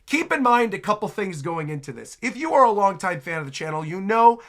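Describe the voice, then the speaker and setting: A man's voice: sits high, big pitch swings, brisk, fairly bright tone. A man hosting a casual reaction video, chatty and exclamatory as he comments. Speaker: video host, streamer.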